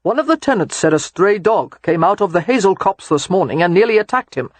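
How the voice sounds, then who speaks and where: Woman voicing a dry, husky young father. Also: medium pitch, lively intonation, fairly quick, dry recording, lightly acted. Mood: cautioning. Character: calm, fond young father with dry humour. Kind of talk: audiobook character voice.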